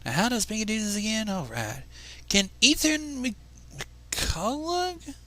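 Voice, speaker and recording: A flustered pony announcer from a storybook, acted out by a man, speaking in a high-pitched American Southern drawl. The delivery is halting and folksy, swinging up and down with a bright edge. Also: sing-song, heavily acted.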